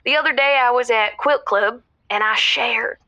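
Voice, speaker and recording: Middle-aged housewife, high bright voice, rambling, earnest, giddy, audio drama call-in. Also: female voice, normal speed, no echo. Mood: excited, proud. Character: chatty middle-aged Southern housewife caller, proud and giddy.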